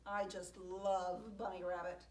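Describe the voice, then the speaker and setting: A woman voicing a sly, hungry male fox who schemes smoothly, in an echoey room. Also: lowish voice, level tone, a little slow, recorded from a distance, a little hiss or hum, natural voice.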